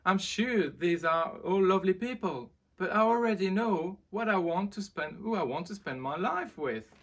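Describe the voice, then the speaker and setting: Brief: kind young storybook prince, played by a man, raised pitch, polite and hesitant. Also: lively intonation, moderate pace, fairly bright tone. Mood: grateful, earnest.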